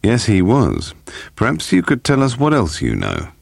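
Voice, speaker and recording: Gruff police inspector, done by a male reader, irritable, probing, husky, for a crime audiobook. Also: medium pitch, wildly swooping pitch, moderate pace, no echo, pitched above the performer's own voice, full character voice.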